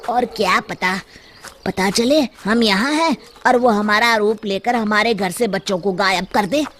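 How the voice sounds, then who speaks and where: A woman voicing a worried village father, earnest and conversational, in an animated children's story. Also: mid-range voice, unhurried, a little hiss or hum, voice pushed down, close to an everyday voice.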